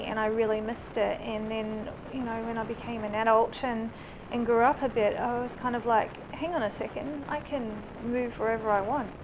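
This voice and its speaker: A woman in her late thirties from New Zealand, raised in Australia, with a mixed New Zealand and Australian accent, chatting casually with plenty of ums and restarts while riding a motorcycle. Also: a little high. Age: late 30s. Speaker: motorcycle vlogger. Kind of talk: casual chat to camera. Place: riding a motorcycle on an open country road. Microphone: helmet-mounted action camera. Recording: engine and wind noise on a helmet cam. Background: motorcycle engine and wind noise.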